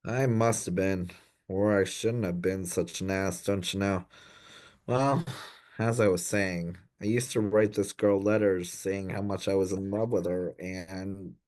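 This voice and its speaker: An actor playing an anxious, dim young gentleman in a comic period radio play, dithering and apologetic in posh old-fashioned slang. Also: low-pitched, steady tone, measured pace. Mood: embarrassed confession. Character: anxious, dim young upper-class Edwardian gentleman in trouble.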